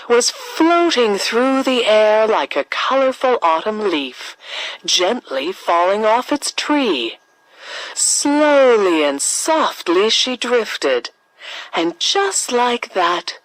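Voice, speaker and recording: A woman narrating a scripted children's fairy tale as a storyteller, with expressive, dramatic emphasis. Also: medium pitch, dry recording, poor audio.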